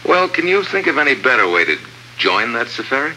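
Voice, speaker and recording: An actor playing a tough, wisecracking American secret agent in a wry, clipped 1950s radio adventure style. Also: male voice, medium pitch, very animated, brisk, bright, forceful tone. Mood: brisk, confident. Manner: wry, clipped, hard-boiled. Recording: noisy old radio drama recording.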